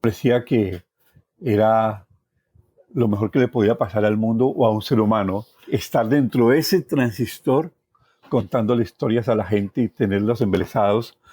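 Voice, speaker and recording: An older Colombian man telling personal stories in a reflective, expressive way as an interview guest. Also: lowish voice, moderate pace, slightly rough, no room sound. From Valle del Cauca, Colombia. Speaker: journalist, podcast guest. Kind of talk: personal storytelling.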